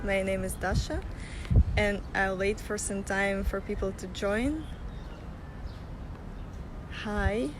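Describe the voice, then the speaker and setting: A woman's voice: medium pitch, fairly even intonation, slow, long pauses. A young woman from Russia leading a live-streamed walking tour outdoors, talking loosely and laughing often. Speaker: tour guide. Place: sunny city streets outdoors. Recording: phone audio with street noise.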